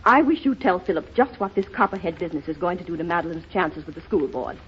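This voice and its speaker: Firm mother, middle-aged, fast-talking, animated, anxious, old radio play. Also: fairly low pitch, breathy edge. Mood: insistent. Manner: brisk, insistent. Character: firm, anxious society mother of a young politician. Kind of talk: 1930s radio drama acting. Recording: dull 1930s radio recording.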